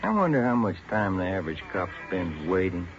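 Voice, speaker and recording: Low-voiced, laconic police partner. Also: male voice, very animated, a little fast, over music. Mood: weary, grumbling. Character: grumbling, easygoing male police detective partner. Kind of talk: 1940s radio drama acting. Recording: muffled, noisy 1940s radio broadcast.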